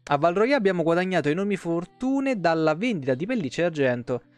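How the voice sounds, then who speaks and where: A man's voice: high-pitched, big pitch swings, a little fast, no echo. A man, a streamer reading game lore aloud with casual, sweary asides and the odd stumble. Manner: colloquial, profane, stumbles and self-corrects while reading. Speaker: live streamer. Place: small room at home. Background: faint background music.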